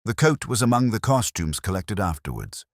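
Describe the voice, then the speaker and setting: A man's voice: lowish voice, sing-song, moderate pace, no echo, clean studio sound, pitched above the performer's own voice. A man voicing a nervous, bewildered costumier's clerk in an audiobook mystery, formal, hesitant and deferential. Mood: anxious, deferential.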